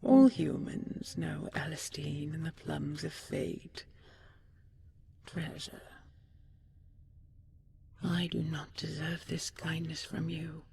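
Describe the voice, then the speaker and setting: Female actor as regal, wistful dragon queen, intimate audio drama. Unhurried, breathy, hushed delivery over faint background ambience. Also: low-pitched, a little hiss or hum, full character voice. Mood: resigned, tender. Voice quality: soft, breathy, often half-whispered. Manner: slow, measured, stately, with lingering pauses. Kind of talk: intimate audio roleplay for sleep, ASMR-like.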